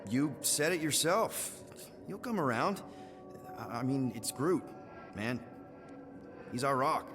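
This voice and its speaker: An actor playing an earnest, flustered young leader of a spacefaring team in a video game, informal, stammering and pleading. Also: male voice, mid-range voice, animated, slow, big-room sound, over music. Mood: nervous pleading. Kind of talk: video game cutscene acting.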